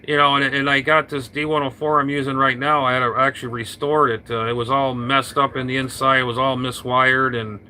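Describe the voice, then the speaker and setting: A man chatting casually over his radio, rambling and halting with lots of fillers and restarts, talking into an old hand mic. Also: mid-range voice, little pitch movement, sharp tone, a little hiss or hum, low-quality recording. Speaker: radio operator. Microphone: vintage hand mic on a radio.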